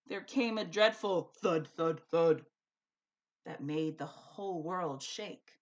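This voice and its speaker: A woman's voice: fairly low pitch, slow, dull audio. A woman teacher speaking expressively and emphatically, as in a storybook read-aloud for a school lesson. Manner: animated, emphatic.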